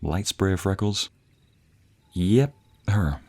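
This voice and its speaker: Man acting a low, breathy, playful young boyfriend. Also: animated, takes it slowly, mellow, full character voice. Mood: teasing. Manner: teasing, affectionate, breathy murmurs and chuckles. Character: playful, devoted young boyfriend, a villager with a secret. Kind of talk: romantic audio roleplay addressed to the listener. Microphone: close binaural microphone.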